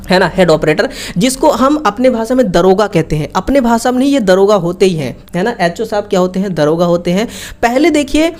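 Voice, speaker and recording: A woman's voice: medium pitch, darkish tone. Woman teaching in a chatty, informal way. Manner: colloquial, chatty, frequent check-in tags. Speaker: exam-prep teacher. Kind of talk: informal explanatory online lesson.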